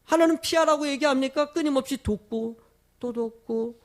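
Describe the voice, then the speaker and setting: A male pastor delivers a sermon in a high-pitched voice with a heavy gravelly creak, strained by a cold. He leans hard on key phrases, stretching them out, and keeps turning to his congregation with rhetorical questions. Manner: emphatic, with drawn-out stressed phrases. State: sore throat from a cold.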